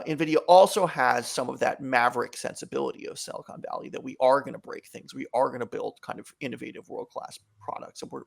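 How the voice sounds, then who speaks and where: Gravelly-voiced man explaining at length. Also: mid-range voice, fairly bright tone. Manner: thoughtful, fillers and restarts, measured explanation. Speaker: author, guest. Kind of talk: interview answer, explaining.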